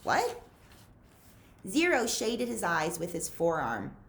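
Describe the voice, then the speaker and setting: A woman reading a story aloud to young listeners in an expressive, deliberate, suspenseful storytelling manner. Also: deep voice. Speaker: librarian reading aloud to children. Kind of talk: expressive read-aloud storytelling, pausing to ask listeners questions.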